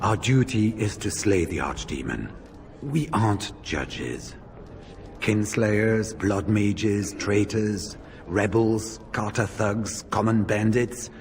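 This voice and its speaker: An actor playing a pragmatic veteran warrior of a grim order in a game, speaking in a measured, solemn, persuasive way. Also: male voice, on the low side, expressive, slight background noise. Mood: solemn, insistent. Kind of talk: dramatic game acting. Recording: loud dramatic music behind.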